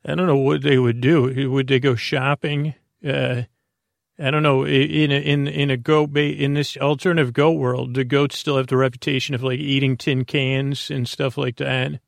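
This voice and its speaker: A man with a creaky voice hosts a sleep podcast, meandering hesitantly through tangents in a bedtime monologue. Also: medium pitch, level tone, moderate pace, no echo, studio quality. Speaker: podcast host.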